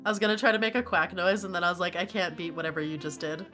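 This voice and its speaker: A woman's voice: on the low side, rapid-fire, pushed and bright, faint music behind. A woman guesting on a casual stream chat, giggly, easygoing and self-deprecating.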